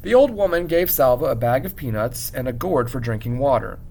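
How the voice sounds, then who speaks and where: A man reading a story aloud as a teacher would, in an expressive, measured narration with dramatic pauses. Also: medium pitch, gravelly.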